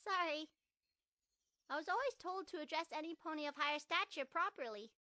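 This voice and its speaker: A woman's voice: sits high, fairly quick, bright, forceful tone, no room sound, clean studio sound. A woman voicing an eager, polite, curious young pony filly in a fan fiction audio drama. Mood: polite, earnest. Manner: earnest, formal.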